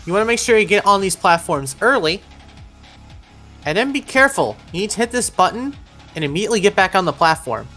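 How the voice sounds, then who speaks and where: A woman's voice: mid-range voice, very animated, a little fast, pushed and bright, soft background music. A woman narrating an instructional video game walkthrough in a step-by-step, directive way, as a guide for players. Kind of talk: instructional gameplay walkthrough voice-over.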